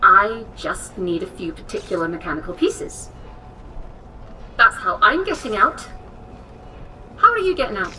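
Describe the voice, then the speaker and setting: An actress playing an eccentric, overconfident English woman inventor in a game cutscene, theatrical, brisk and condescending. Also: medium pitch, sing-song, pushed and bright. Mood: flustered, then confident. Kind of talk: video game cutscene acting. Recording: distant from mic, faint noise.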